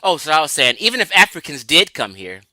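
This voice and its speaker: A man's voice: a little high, very animated, fast talker, pushed and bright, no room sound. A man from Los Angeles giving an emphatic, lecturing livestream talk, answering his chat and laughing often. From Los Angeles, California. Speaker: livestream host.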